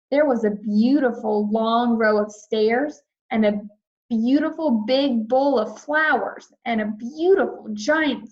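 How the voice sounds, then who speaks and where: A woman's voice: a little high, muffled recording. A woman voicing an eager little girl with childlike, emphatic phrasing in a children's storybook read-aloud. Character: eager little girl narrating her sleepover adventure.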